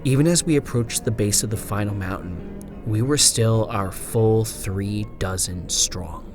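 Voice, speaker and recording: A man performing a frontier gunslinger's first-person horror narration, in a hushed, deliberate delivery with drawn-out phrases and swelling emphasis. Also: on the low side, close to an everyday voice. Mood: wistful, measured. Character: woman frontier gunslinger recounting her haunted, lost memories. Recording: music bed under the narration. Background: music.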